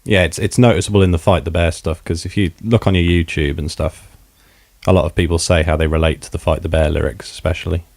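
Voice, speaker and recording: An English man hosting a local podcast, asking casual, halting questions. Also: low voice, fairly even intonation, creaky, darkish tone, no room sound. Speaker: podcast host. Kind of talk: interview questions.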